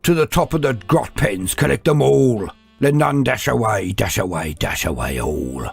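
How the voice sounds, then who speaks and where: A man's voice: fairly low pitch, lively intonation, normal speed, creaky, husky, faint music behind, full character voice. A man voicing a huge, angry ork warboss in a storytelling piece, hectoring and commanding.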